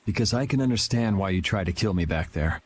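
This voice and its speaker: Low-voiced, cool, blunt young swordsman. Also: lively intonation, quick pace, a little hiss or hum. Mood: calm, frank. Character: cool, blunt young swordsman, world-weary but principled. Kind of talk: English dub of anime role-playing game.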